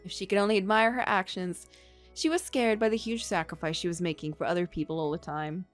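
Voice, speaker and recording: A woman game streamer reading a visual novel aloud in an expressive storytelling way, with casual asides. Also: fairly low pitch, a little fast, soft background music.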